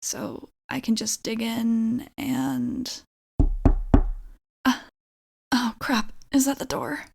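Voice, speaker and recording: A flustered young female vampire played by a woman in an ASMR roleplay. Her voice sits fairly high with a marked creak. She rambles haltingly and apologetically, nervous and awkward. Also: sing-song, heavy background noise, exaggerated voice. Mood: musing, relaxed, then flustered, panicked.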